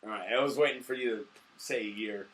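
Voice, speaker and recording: A drunk man co-hosting a casual, sweary movie review, excitable and given to shouting. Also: fairly low pitch, quick pace.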